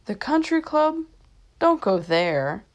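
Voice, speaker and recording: A woman's voice: medium pitch, very animated, voice pushed up. A woman voicing an ominous older female shopkeeper in a storybook, drawing out dire warnings. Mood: foreboding. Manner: slow, drawn-out warnings.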